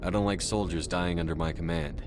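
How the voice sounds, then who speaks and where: An actor playing a stoic, dutiful space marine commander in a video game, with terse, controlled speech. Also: male voice, low voice, steady tone, fairly quick, noisy background, natural voice. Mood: stoic, firm. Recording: clear music behind.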